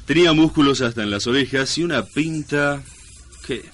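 An actor playing a pompous narrator in mock-solemn scripted narration for a comic radio drama. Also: male voice, medium pitch, normal speed, a bit bright, close to an everyday voice. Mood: arch, comic. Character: pompous, easily distracted male radio-play narrator. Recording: noisy old radio broadcast.

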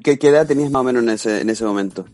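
A man hosting a casual podcast interview, speaking in a relaxed, hesitant way with many fillers. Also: mid-range voice, rapid-fire, faint music behind. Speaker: podcast host.

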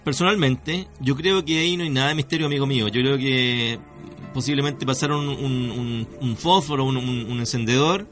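A Chilean man hosting a conversational radio show on mysteries, speaking haltingly as he searches for words. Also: medium pitch, a bit bright. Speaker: radio host. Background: music.

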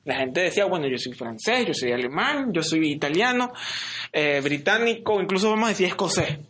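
Bright, blunt arguing man. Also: fairly high pitch, sing-song, fairly quick, slightly rough. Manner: blunt, argumentative, sarcastic asides. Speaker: podcast co-host. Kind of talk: casual political discussion.

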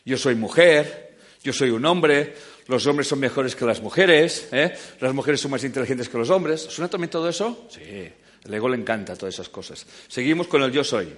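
Animated man lecturing an audience. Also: medium pitch, normal speed. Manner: animated, joking, swings between loud and quiet. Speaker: speaker giving a talk.

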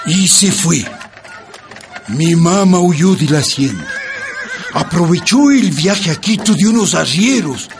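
Radionovela narrator telling their poor family's story, high pitched, slow n expressive, music under. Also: male voice. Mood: reflective. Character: grown child of a peasant family recounting its hardship.

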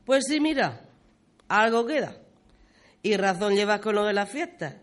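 A performer playing a talkative, fond old grandmother, reminiscing in folksy, expressive style in a community radio play. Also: mid-range voice, a little slow. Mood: lively, affectionate. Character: talkative, fond old village grandmother telling local history.

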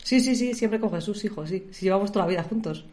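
A woman's voice: medium pitch, quick pace, darkish tone. A middle-aged woman from Spain with a Spanish accent, a podcast guest telling her life story in casual conversation, chatty and colloquial, laughing now and then.

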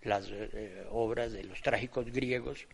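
An older man giving reflective interview commentary in halting, measured phrases. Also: on the low side, fairly even intonation, creaky, breathy edge. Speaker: interviewee commenting on theatre history.

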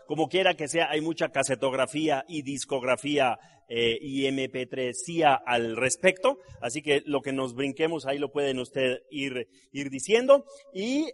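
Animated middle-aged Mexican man telling stories. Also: on the high side. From Mexico City. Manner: animated storyteller, jokes with the crowd, asks tag questions; trained actor and voice-over artist. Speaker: business seminar speaker. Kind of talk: live motivational talk to an audience.

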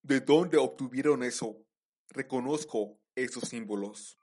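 A solemn, wary Inca ruler acted by a man for a radio drama. He speaks slowly and suspiciously, carrying a grievance, in a medium-pitched voice. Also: darkish tone, slightly rough. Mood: suspicious, bitter.

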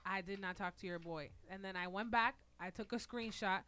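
A young woman hosting a casual podcast chat, reading listener questions aloud in an animated way. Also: mid-range voice, a little fast, clean tone. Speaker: podcast host.

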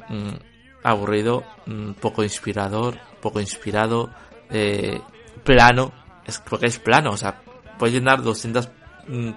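A man from Spain with a Spanish accent, giving a casual book review in a halting, filler-heavy way and bluntly criticizing what he read. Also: fairly low pitch, vocal fry, fairly bright tone.

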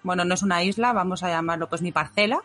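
A woman's voice: on the low side, fast talker, a bit bright, soft background music. A woman from Madrid chatting as a guest, talkative and self-mocking. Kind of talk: casual chat.

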